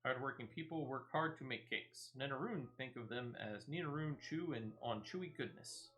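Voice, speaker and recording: A man's voice: medium pitch, moderate pace, a bit bright, close to an everyday voice, poor audio. A man voicing an earnest little villager from a game, with stilted phrasing, reading dialogue aloud. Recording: music playing behind the voice.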